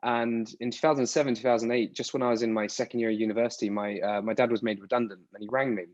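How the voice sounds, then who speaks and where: A man's voice: medium pitch, fairly even intonation, fast talker, darkish tone, no room sound. A man from the UK in his thirties, answering interview questions in a rambling, casual way with frequent restarts. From United Kingdom. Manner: rambling, restarts words often. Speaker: podcast guest. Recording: dull lo-fi dry sound.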